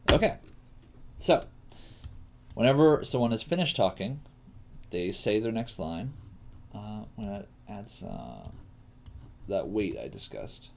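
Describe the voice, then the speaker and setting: Man thinking aloud, pitch rising and falling. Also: very animated, vocal fry, darkish tone. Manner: halting, muttering to himself while working. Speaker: coding teacher, livestream host. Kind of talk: casual live coding tutorial, thinking aloud. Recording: faint noise, keyboard typing and clicks. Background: computer keyboard typing and mouse clicks.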